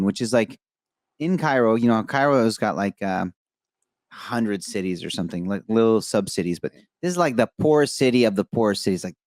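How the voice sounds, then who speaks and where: A young adult man from Egypt hosting a podcast interview, talking in an animated, effusive, rambling way with many fillers. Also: lowish voice, no room sound. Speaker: podcast host. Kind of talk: enthusiastic interview chat.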